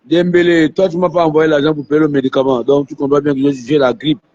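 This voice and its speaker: Man, host, hoarse with flu, dark husky tone, muffled phone sound. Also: fairly high pitch, level tone, fast talker. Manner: talks to viewers, repeats himself. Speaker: live stream host. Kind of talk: live phone interview. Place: airport.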